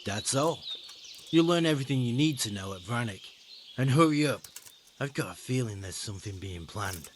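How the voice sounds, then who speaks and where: An actor playing a brusque, mocking bandit recruiter in a medieval video game, with a sardonic, needling delivery. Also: male voice, mid-range voice, expressive, normal speed, background noise, lightly acted. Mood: sardonic, instructive. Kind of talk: video game cutscene acting.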